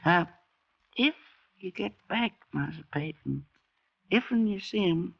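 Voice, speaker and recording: An actor playing a good-natured freed slave in an old-time radio drama, with a folksy, meandering Southern drawl. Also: male voice, sits high, expressive, measured pace, vocal fry, exaggerated voice. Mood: folksy, wistful. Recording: muffled old radio broadcast.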